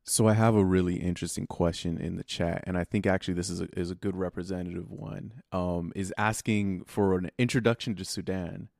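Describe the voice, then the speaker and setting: Stream host, male, very low and gravelly, relaxed question-asker. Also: fairly quick, hardly any pauses, dry recording, clean studio sound. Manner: relaxed, reading questions from the chat. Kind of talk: livestream interview.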